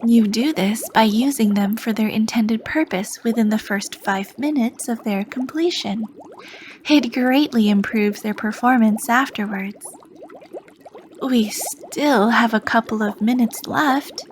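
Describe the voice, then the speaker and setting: Woman as a slow, flirty potion master. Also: fairly high pitch, slight background noise, lightly acted. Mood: soft flirty. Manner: soft, flirty, drifts into whispers. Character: charming sly female potion master, adult. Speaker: shopkeeper. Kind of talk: ASMR roleplay.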